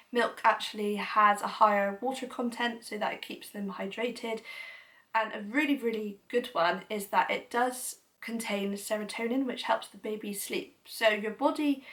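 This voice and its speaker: A woman's voice: medium pitch, a bit bright, recorded from a distance. A young woman with a cold and a blocked-up nose, chatting enthusiastically to camera as a parenting vlogger, with lots of "you know". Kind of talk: casual explanatory talk to camera.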